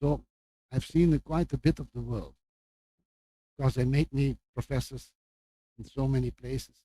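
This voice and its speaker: Older Flemish man with a very dark voice. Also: mid-range voice, fairly even intonation, low-quality recording. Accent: Flemish Belgian. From Belgium. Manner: halting, with repeated words and asides. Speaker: guest lecturer, medical professor. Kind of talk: informal lecture introduction to an audience.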